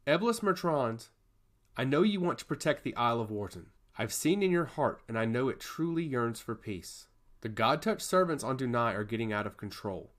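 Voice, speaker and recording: A male storyteller plays a solemn goddess of law from a fantasy tale. She speaks formally and with measured gravity, like one who judges souls. Her delivery is earnest, with a lively, varied pitch. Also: close to an everyday voice. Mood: imploring.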